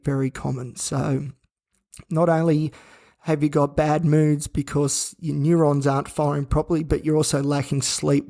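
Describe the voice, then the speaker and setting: A man hosting a solo podcast from a hospital room, his voice croaky and worn out, talking flatly and wearily with rambling restarts. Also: a little high. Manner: flat, weary, rambling with restarts. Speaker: podcast host. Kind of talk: candid solo podcast monologue. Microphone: own recording gear.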